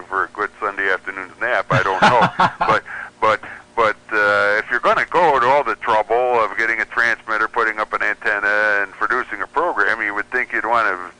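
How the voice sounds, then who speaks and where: A man's voice: lowish voice, bright, forceful tone, rough recording. A man chatting and explaining as a guest in a casual radio interview, with a wry manner.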